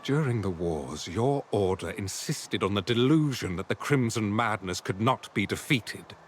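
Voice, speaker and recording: A man playing a reserved, skeptical knight in a fantasy video game, speaking formally and with measured words. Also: fairly low pitch, lively intonation, slight background noise. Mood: guarded disapproval. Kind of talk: video game quest dialogue.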